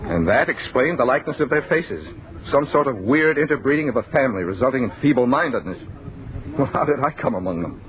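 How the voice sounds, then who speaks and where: An actor playing a bewildered, frightened young man who tells his nightmare in halting, intense old-time radio narration, repeating words in disbelief. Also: mid-range voice, lively intonation, a crowd in the background, close to an everyday voice. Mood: fearful, agitated. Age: young adult. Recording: narrow, muffled old radio broadcast.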